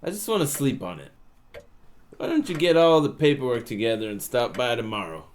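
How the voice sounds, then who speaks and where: A man's voice: medium pitch, sing-song, brisk, slight background noise, lightly acted. A man playing a 1920s gangster boss, genial but menacing, talking in slangy wiseguy patter in a noir radio drama. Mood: relaxed, expansive.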